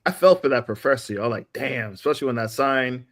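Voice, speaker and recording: A man's voice: mid-range voice, animated, quick pace, fairly bright tone. A man chatting casually on a podcast panel in a slangy, exclamatory way. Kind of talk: casual chat.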